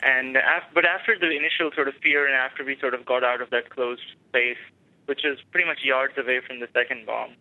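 A young man with a Pakistani accent talks haltingly over a tinny phone line, restarting his sentences as he goes. His voice is mid-pitched and sounds dry and close, with no room around it. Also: fairly even intonation, no room sound. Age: 20s. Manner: halting, many restarts and fillers. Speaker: caller, medical resident. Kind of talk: phone-in radio talk.

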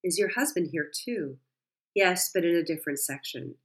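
A woman voicing a frail elderly Bulgarian woman who speaks quietly and plainly. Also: on the low side, lively intonation, normal speed, close to an everyday voice. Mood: subdued.

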